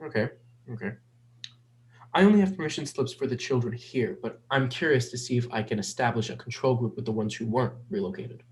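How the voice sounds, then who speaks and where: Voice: medium pitch, brisk, darkish tone, lightly acted. An actor playing a polite, reserved nonbinary psychology hopeful in their twenties in a dramatic script reading. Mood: curious, tentative.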